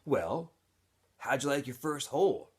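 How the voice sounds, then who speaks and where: A man's voice: medium pitch, sing-song, unhurried, darkish tone, breathy edge, voice pushed up. A man voicing a brash, nosy teenage camp boy in a storybook, blunt and teasing.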